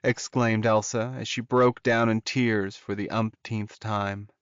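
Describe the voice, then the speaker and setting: A man's voice: on the low side, little pitch movement, measured pace, clear, resonant tone, dry recording, highs cut off. A man reading a dramatic story aloud as a scripted audiobook narrator.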